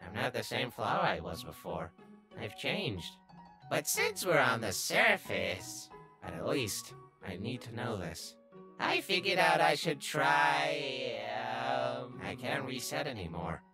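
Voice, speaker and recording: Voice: medium pitch, very animated, slow pace, music in the background. A performer voicing a sly, obsessively curious little talking flower in a comic dub, brooding and easily exasperated. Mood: hesitant. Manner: brooding, scheming, bursting into exasperated outbursts. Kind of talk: comic dub character narration and dialogue.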